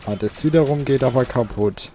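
A man's voice: medium pitch, brisk, mellow, faint music behind. A man hosting a let's play, chatting casually and thinking aloud while he plays, seated at home. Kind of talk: casual gameplay commentary.

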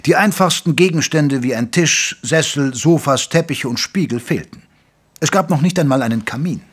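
An actor playing a gentlemanly doctor who narrates an audio drama in articulate, literary, measured speech. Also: male voice, fairly high pitch, husky. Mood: descriptive. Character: gentlemanly male doctor, detective's loyal companion, narrating. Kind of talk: scripted first-person audio drama narration. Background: music.

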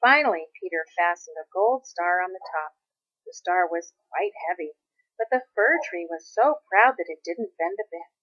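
A woman reading a children's story aloud as expressive scripted narration. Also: on the low side, moderate pace, husky, no room sound, highs cut off. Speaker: storybook reader.

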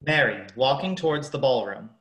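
A man's voice: mid-range voice, lively intonation, moderate pace, fairly bright tone. A young man reading stage directions as the narrator of a radio play, in scripted narration. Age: young adult. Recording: echoey room.

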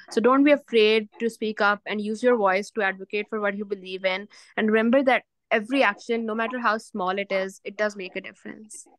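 A young woman panelist on a video call, giving measured advice. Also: medium pitch, a bit bright, no echo. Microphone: video call laptop mic.

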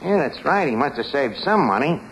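Lively, slangy New York tavern manager. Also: male voice, medium pitch, fairly quick. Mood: scheming. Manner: ungrammatical slang with misused fancy words. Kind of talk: 1940s radio comedy acting. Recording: noisy old radio broadcast, studio audience. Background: loud studio audience.

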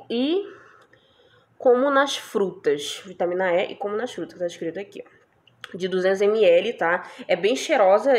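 A young woman chatting casually about products she bought, asking her viewers for agreement every few lines. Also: medium pitch. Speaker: beauty vlogger. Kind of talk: casual product haul chat.

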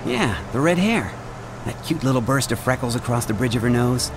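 An actor playing an easygoing young superhero sidekick in an animated comic, casual and playful. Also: male voice, medium pitch, fairly quick, noisy background, lightly acted. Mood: amused. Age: young adult. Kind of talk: animated motion comic acting. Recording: loud music under the voice.

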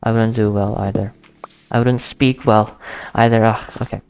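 A young man who is not a native English speaker, hosting a casual gaming let's play, thinking aloud with hesitant fillers and counting softly to himself. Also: on the low side, little pitch movement, normal speed, clear, resonant tone. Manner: hesitant, thinking aloud, counting under his breath, nervous laughs, easily spooked. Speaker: gaming channel host. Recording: faint background music under the voice. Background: soft background music.

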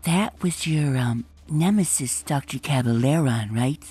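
A woman voicing a timid, gentle young female pony in a comic dub, talking softly and hesitantly with a breathy, whispery voice. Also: extremely low pitch, lively intonation, a little slow, faint music behind, pitched below the performer's own voice, full character voice. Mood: meek. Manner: hesitant, shy, nervous.

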